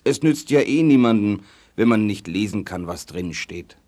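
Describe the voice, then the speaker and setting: Man as fairy-tale king, low voice, weary, kindly, puzzled, children's audio drama. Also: expressive, moderate pace, dark tone, close to an everyday voice. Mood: puzzled, explaining. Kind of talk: German children's audio play acting.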